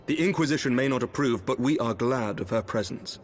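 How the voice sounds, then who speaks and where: An actor playing a grave, diplomatic elven lord in a fantasy video game, speaking in a formal, considered way. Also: male voice, medium pitch, a little fast, a little hiss or hum, natural voice. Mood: measured, approving.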